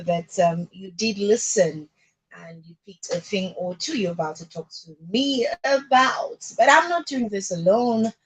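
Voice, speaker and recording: Husky Nigerian woman hosting radio. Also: medium pitch, rough recording. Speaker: radio show host. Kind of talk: informal live radio presenting. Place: radio studio.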